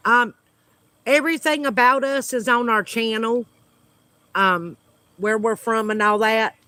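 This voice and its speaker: High, bright-voiced Southern man, slow chat. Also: steady tone, smooth. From United States. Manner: hesitant, many fillers, friendly small talk. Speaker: homesteading channel host. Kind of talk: casual livestream chat with viewers. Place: outdoors at home.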